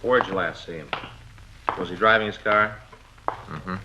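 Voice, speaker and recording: An actor playing a plain-spoken, slightly grumbling police detective in an old radio drama, with a casual, routine manner. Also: male voice, low voice, a little slow. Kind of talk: 1950s radio drama acting. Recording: dull, faintly noisy old radio recording.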